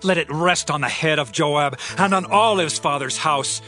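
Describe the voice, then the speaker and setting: Give the voice of an ancient Israelite king, pitched high for a man. He declaims in solemn, archaic phrases with grief and wrath, as in a scripture audio drama, with music playing clearly underneath. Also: moderate pace, pushed and bright. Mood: wrathful cursing.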